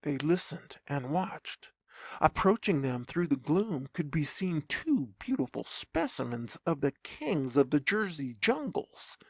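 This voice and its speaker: An audiobook narrator reading a humorous story in a theatrical, expressive way. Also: mid-range voice, unhurried, husky, dry recording, dull audio.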